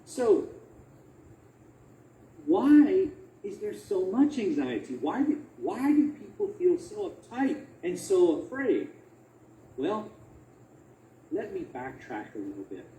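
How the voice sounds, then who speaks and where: A man's voice: high-pitched, huge pitch swings, takes it slowly, long pauses, mellow. A man giving a conversational academic talk as a researcher, emphatic, repeating phrases and asking rhetorical questions. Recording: roomy echo, faint background noise.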